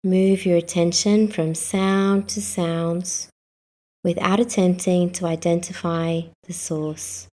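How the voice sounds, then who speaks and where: A woman guiding a yoga nidra meditation as the instructor, speaking calmly in short, measured instructions. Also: lowish voice. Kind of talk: guided meditation.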